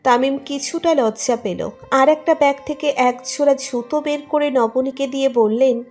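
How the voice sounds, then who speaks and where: A woman's voice: high voice, normal speed, darkish tone. A woman reading a scripted romantic story as its narrator. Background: music under the narration.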